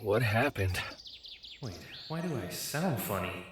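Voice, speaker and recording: A man's voice: lowish voice, sing-song, measured pace, a little hiss or hum. A man playing a bewildered but level-headed man in a robot body for an audio drama. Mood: bewildered, sober.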